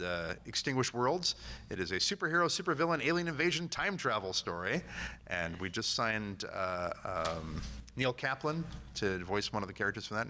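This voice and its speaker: A man giving an informal convention panel talk in a halting way, often repeating words. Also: low-pitched, pushed and bright. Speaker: panel speaker, audio drama company founder and actor. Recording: live panel with noticeable room noise.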